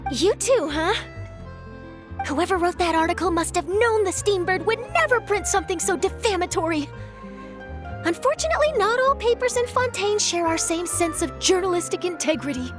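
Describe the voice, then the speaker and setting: An earnest young newspaper reporter in a video game. Her very high, chirpy voice sounds eager and principled, with music behind it. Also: animated, normal speed. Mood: indignant, earnest. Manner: bright-eyed, earnest, chirpy.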